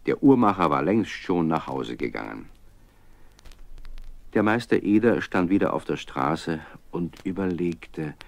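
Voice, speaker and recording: A man narrating a children's radio play as a calm, measured storyteller. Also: deep voice, vocal fry, no echo, heavily acted.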